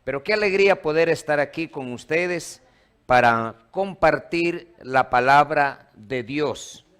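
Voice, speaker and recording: A man's voice: fairly high pitch, takes it slowly, a bit bright, clean tone. A man from Ecuador, a pastor preaching a sermon in a church and quizzing the congregation in call-and-response. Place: church hall.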